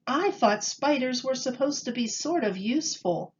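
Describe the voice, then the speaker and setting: A woman's voice: sits high, expressive, normal speed, muffled recording, voice pushed up. A woman voicing an eager, earnest male zoo assistant in a children's storybook. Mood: deferential.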